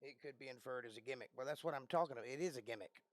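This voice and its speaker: A man with a raspy voice that he says he is losing, chatting casually as a livestream host from a small bedroom, often clearing his throat. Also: medium pitch, rapid-fire, dry recording, studio quality. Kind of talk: casual live-stream chat. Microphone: close desk microphone.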